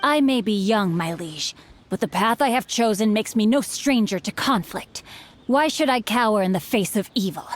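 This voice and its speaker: A woman's voice: medium pitch, animated, normal speed, a little hiss or hum, natural voice. An actress playing an earnest, courteous teenage boy swordsman with formal, florid, theatrical speech in an anime game. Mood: resolute.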